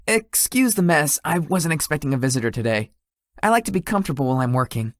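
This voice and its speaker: A woman's voice: deep voice, sing-song, brisk, no echo, clean studio sound. A woman voicing a shy, polite young male tech executive in a visual novel, slightly formal and hesitant in manner. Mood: relaxed, friendly. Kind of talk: visual novel character dialogue.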